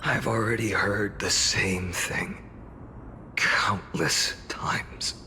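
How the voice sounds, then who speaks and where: An actor playing a calm, weary young male hero with a measured, wistful manner, in an anime-style video game. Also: on the low side, in a whisper, exaggerated voice. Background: game music.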